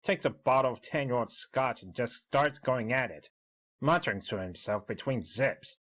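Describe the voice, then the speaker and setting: A man's voice: medium pitch, moderate pace, a bit bright, close to an everyday voice. A man playing a bemused, gossipy bartender recounting events in a dramatic story reading. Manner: conversational, gossipy. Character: chatty, bemused bartender recounting an odd customer.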